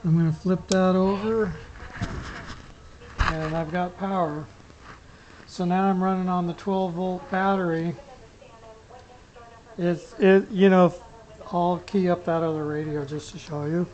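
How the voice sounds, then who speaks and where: A hobbyist giving a casual, unscripted gear demo, explaining things in a rambling, halting way. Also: mid-range voice, little pitch movement, a little mellow, dull audio. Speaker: hobbyist demonstrating a radio setup. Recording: clear background noise with clicks.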